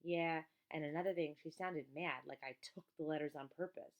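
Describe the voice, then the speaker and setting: Woman acting young boy, polite, earnest, flusters easily, children's audiobook, creaky edge. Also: deep voice, fairly even intonation, moderate pace, highs cut off. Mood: explaining. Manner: polite, plain-spoken, earnest.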